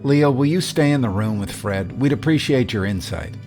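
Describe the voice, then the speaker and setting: An actor playing a worried, careful radio station boss in an audio drama, measured and a little hesitant. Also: male voice, mid-range voice, animated, a little mellow, soft background music, lightly acted. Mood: subdued. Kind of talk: naturalistic audio drama acting.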